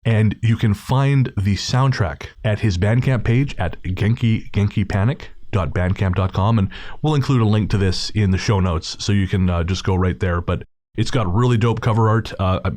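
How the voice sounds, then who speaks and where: A man's voice: on the low side, moderate pace, darkish tone. A man hosting a podcast, casually introducing an episode and speaking to listeners in an informal, slangy way. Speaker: podcast host.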